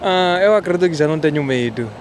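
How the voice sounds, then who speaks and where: A man with a Mozambican Portuguese accent answering street interview questions briefly and calmly, repeating himself. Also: on the high side, quick pace, slight background noise. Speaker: interviewee passerby. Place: city street outdoors.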